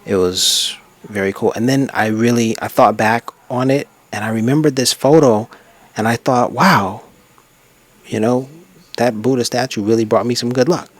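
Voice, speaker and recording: A man's voice: mid-range voice, fairly even intonation, moderate pace. A man narrating his own travel photos in a casual, unscripted way, rambling and reflective as he reminisces. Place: untreated room at a desk.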